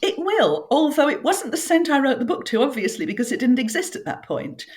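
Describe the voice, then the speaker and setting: A woman's voice: high-pitched, big pitch swings, a little fast. A middle-aged woman from northern England, brought up speaking French, talks through her memories in a reflective, vivid way. She lingers on details and drops in small fillers now and then. Her tone stays conversational, the way a guest talks in a sit-down chat. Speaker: author guest. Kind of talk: conversational interview.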